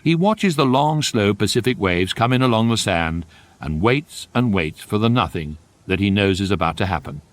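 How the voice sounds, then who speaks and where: An actor playing the wry, urbane, measured narrator of a guidebook in a radio comedy, with a British accent. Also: male voice, fairly low pitch, animated, no echo, lightly acted.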